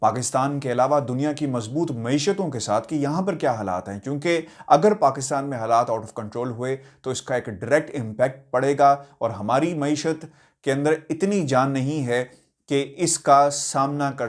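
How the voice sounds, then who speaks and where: A man with a Pakistani accent hosting political news commentary, addressing viewers in a hesitant, halting way with deliberate emphasis on key words. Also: medium pitch.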